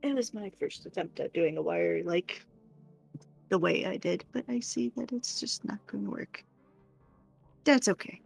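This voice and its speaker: Woman, gravelly vocal fry, mid pitch, casual co-host chat, playful, faint music. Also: unhurried, long pauses, a little mellow. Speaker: streamer's wife and co-host.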